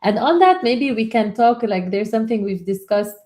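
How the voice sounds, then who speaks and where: A woman co-hosting a webinar, talking casually and haltingly, with frequent restarts and repeated words. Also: a little high, lively intonation, darkish tone. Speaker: webinar co-host.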